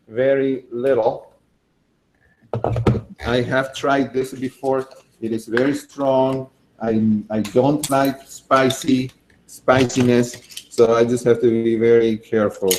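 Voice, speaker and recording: A man from Argentina, demonstrating a recipe in a casual, enthusiastic way while thinking out loud. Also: medium pitch, steady tone, slow pace, background noise. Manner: chatty and enthusiastic, thinking aloud with fillers. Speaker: cooking demonstrator.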